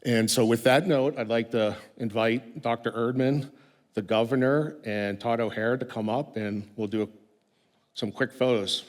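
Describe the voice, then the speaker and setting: Medium-pitched man making stage remarks. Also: steady tone, normal speed. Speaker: company executive announcing a donation. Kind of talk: prepared remarks, personal anecdote. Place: event stage, public address.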